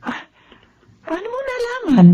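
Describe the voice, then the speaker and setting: An actress playing a caring, reassuring older aunt in a radio drama, speaking in a motherly, soothing, expressive way. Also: very high-pitched, a little slow, highs cut off, exaggerated voice. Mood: tender, reassuring. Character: caring, reassuring older aunt raising her late sister's children.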